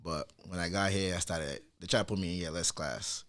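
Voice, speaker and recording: A man in his twenties from Nigeria, a DJ guest talking in a relaxed, easygoing way with casual slang. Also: deep voice, a little fast. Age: 20s. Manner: laid-back, easygoing slang. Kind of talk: casual podcast chat.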